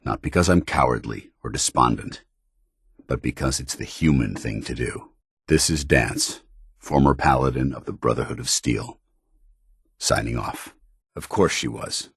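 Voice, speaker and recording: An actor playing a stern, earnest, dutiful male soldier in a video game, speaking with formal, measured military diction. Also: a bass voice, husky, dry recording, clean studio sound, heavily acted. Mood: grave, resigned. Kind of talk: video game voice acting, companion dialogue.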